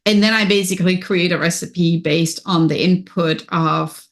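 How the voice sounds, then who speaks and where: Upbeat German-accented woman, low voice. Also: normal speed. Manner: upbeat, energetic, high energy. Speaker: business and wellness coach, guest. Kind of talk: podcast interview.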